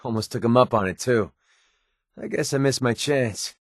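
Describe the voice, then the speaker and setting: An actor playing a wry, streetwise young mercenary companion in a video game, talking casually and with sarcasm. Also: male voice, medium pitch, moderate pace, dry recording, clean studio sound, close to an everyday voice. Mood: casual, nostalgic.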